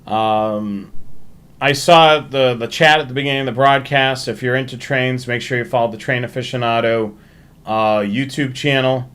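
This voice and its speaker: A man hosting a livestream, talking informally through announcements in a halting way and repeating himself. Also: medium pitch, steady tone, a bit bright, clear, resonant tone. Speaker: livestream host. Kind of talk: casual livestream sign-off and announcements.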